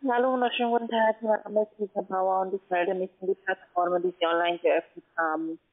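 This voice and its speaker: A woman's voice: mid-range voice, steady tone, fairly quick. Woman call centre agent, tinny phone line. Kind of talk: telephone sales pitch.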